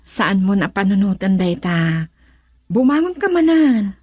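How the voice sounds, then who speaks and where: An actress playing an outspoken, emotional wife and daughter in a radio drama, husky and insistent. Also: female voice, mid-range voice, very animated, unhurried, a little mellow, no echo, studio quality. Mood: urging. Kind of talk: Ilocano radio drama acting.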